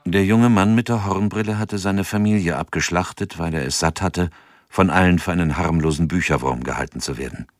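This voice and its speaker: Very low-voiced sober male narrator. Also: a little fast, no echo, clean studio sound. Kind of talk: scripted audio drama narration.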